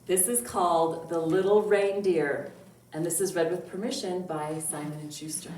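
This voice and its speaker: A woman reading a picture book aloud to young children as a storytime librarian, with drawn-out words and dramatic emphasis. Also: fairly low pitch, fairly even intonation, darkish tone. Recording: roomy echo, distant mic, faint noise.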